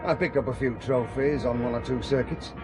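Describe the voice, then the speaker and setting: A man's voice: medium pitch, fairly quick, over music, lightly acted. A man playing a wealthy, urbane manufacturer and racing driver in an audio drama, hearty with old-boy phrasing. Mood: urbane guiding.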